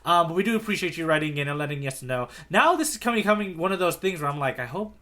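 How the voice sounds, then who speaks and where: A man co-hosting a casual podcast as its guide, bantering in a playful, animated hype-man manner. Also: fairly high pitch, quick pace. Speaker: podcast co-host, tour guide. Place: remote online podcast recording.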